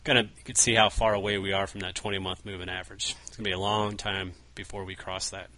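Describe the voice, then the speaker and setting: A man's voice: low voice, flat delivery, fast talker, sharp tone, low-quality recording. A man casually walking through big-picture market charts, often restarting his phrases. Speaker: newsletter market analyst co-host. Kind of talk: market commentary over charts.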